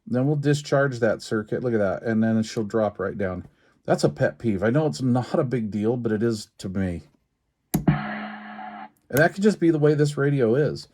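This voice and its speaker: A man with an average-pitched voice talks through hands-on repair work. He is informal and folksy, thinking aloud as he goes. His delivery is halting and casual, like an unscripted demonstration. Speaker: radio repair technician and video host. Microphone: handheld camera while working.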